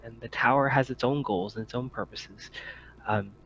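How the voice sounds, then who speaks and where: A man voicing a fervent female cult believer in a tabletop game, preaching haltingly and matter-of-factly about grim doctrine. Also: mid-range voice, a bit bright, lo-fi sound, a little hiss or hum, close to an everyday voice. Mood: preachy, resigned.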